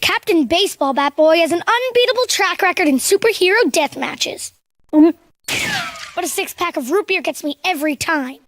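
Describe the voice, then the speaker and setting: A plucky little cartoon boy superhero. The voice is extremely high and swoops around, declaiming with gung-ho pride. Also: very animated, normal speed, fairly bright tone, no echo, full character voice. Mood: plucky, boastful.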